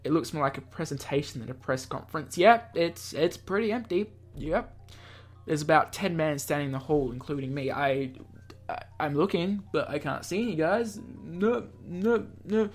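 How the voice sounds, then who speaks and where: A man's voice: a little high, moderate pace. Man reading a game aloud, stumbling over words. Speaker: gaming host. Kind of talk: let's play, reading visual novel aloud with reactions. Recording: music behind the voice.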